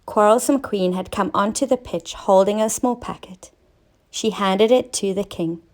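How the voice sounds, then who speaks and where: A woman teacher narrating a scripted children's story, expressive and clearly enunciated for young listeners. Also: medium pitch, moderate pace. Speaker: teacher reading stories to children.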